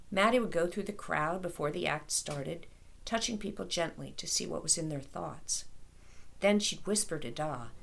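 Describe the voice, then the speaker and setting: Woman, low-pitched, creaky edge, expressive storytelling, author reading aloud.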